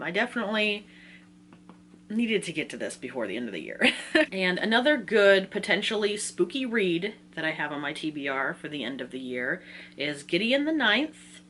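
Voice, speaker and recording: A woman with a mid-pitched voice chats informally to her viewers as a book vlogger. Her manner is casual and self-deprecating. There is a faint hum and some noise in the recording. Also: a bit bright. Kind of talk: casual chatty reading-list vlog.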